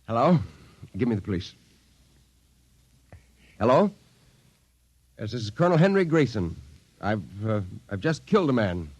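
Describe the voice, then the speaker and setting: An actor in a 1950s radio drama playing a proud, rattled army colonel, clipped and forceful in his disbelief. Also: mid-range voice, very animated, normal speed, pauses often, dry recording. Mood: agitated, desperate.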